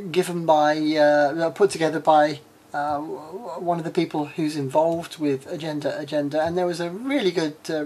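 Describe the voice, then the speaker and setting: A woman in her forties from the UK, with an English accent turned slightly Australian, chatting frankly in a casual vlog and often pausing with ums and uhs. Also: low voice, warm tone. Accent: English with a slight Australian tinge. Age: 40s. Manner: rambling, many ums and uhs. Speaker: vlogger.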